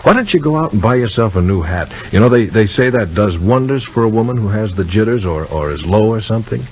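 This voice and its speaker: An actor as a deep-voiced, reassuring 1950s radio man. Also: expressive, quick pace, darkish tone, close to an everyday voice. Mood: coaxing, teasing. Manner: soothing, coaxing, conversational. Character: calm, reassuring, gently bossy man comforting a woman. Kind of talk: 1950s radio soap opera acting. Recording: noisy, dull vintage radio recording.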